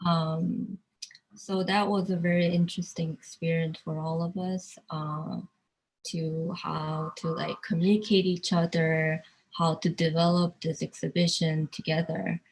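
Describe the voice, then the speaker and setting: Slow, halting woman from South Korea. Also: on the low side, little pitch movement, a little mellow, rough recording. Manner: halting, searching for words. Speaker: artist. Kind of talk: virtual artist talk.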